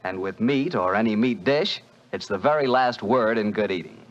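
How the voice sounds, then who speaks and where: Lively upbeat 1940s radio announcer man. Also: fairly low pitch, normal speed, highs cut off. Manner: upbeat, salesy. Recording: old 1940s radio recording.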